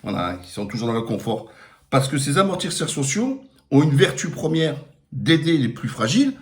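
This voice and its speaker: A man's voice: fairly high pitch, sing-song, moderate pace. A middle-aged man with a Marseille accent giving a blunt, emphatic opinion monologue on a live stream, with frequent fillers. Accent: Marseille French. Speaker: political commentator.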